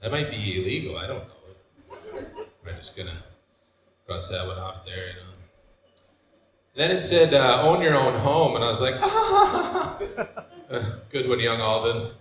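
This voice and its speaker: A man in his forties from Michigan, with a Michigan accent, doing a deadpan stand-up set in a brewery with loose asides to the crowd. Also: mid-range voice, moderate pace, long pauses, heavy background noise. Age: 40s. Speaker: stand-up comedian. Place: brewery stage before a live audience. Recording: roomy live venue sound.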